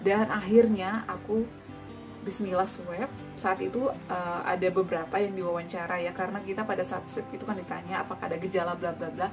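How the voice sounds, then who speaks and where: A woman's voice: on the low side, little pitch movement, fairly quick, distant mic, over music. A woman in her thirties casually sharing a personal story, chatty, with frequent tag words and hesitations.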